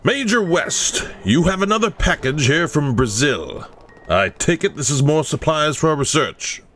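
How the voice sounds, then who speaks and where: An actor playing an eager, ambitious army major awed by grim research, with theatrical, emphatic delivery in a horror audio drama. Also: male voice, fairly high pitch, big pitch swings, measured pace, a bit bright, soft background music. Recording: faint background noise.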